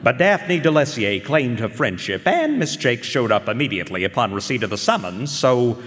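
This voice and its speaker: An actor playing a wry, hard-boiled private detective, narrating and questioning in a live radio drama. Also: male voice, mid-range voice, sing-song, normal speed, a bit bright. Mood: dry narration. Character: wry male private eye narrating his own case. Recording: reverberant hall, music underneath. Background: music.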